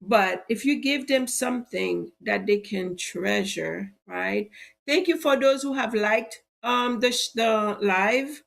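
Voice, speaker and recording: Stuffy-voiced woman with gravelly tone. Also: fairly high pitch, slow pace. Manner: persuasive, repeats "right?" as a tag. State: stuffy and slightly cracky from allergies. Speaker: insurance agent hosting a live show. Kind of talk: live-stream sales talk.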